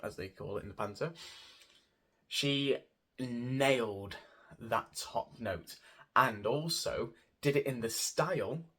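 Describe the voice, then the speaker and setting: A man's voice: mid-range voice, slow pace, sharp tone, slightly rough. A man giving a casual, gushing and rambling review of a theatre show as a vlogger, often repeating words for emphasis. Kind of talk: casual spoken review to camera.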